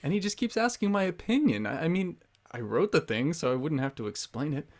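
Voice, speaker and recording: An actor playing John, a young writer who is gentle, placating and unsure of himself. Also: male voice, fairly high pitch, sing-song, brisk. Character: mild young male writer John, self-doubting peacemaker.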